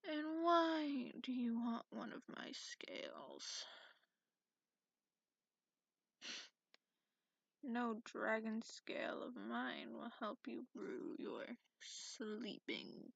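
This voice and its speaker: A woman voicing a cranky, sarcastic, sleepy dragon in a breathy, half-whispered ASMR roleplay, drawling haughtily. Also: fairly high pitch, a bit bright, no room sound, full character voice. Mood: sardonic. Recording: muffled lo-fi close recording.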